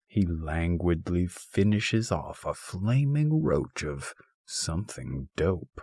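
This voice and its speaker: A man narrating a scripted, humorous essay as an audiobook, reading deliberately and expressively with a wry, mock-scholarly turn. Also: low voice, expressive, darkish tone, no room sound.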